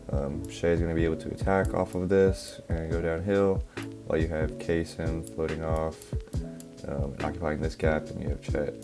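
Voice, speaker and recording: A young man, a little hoarse from a cough, casually breaking down basketball plays with lots of fillers. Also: a bass voice. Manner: relaxed, filler-heavy, explaining step by step. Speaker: basketball analysis creator. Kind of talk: casual play-by-play film breakdown. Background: background music.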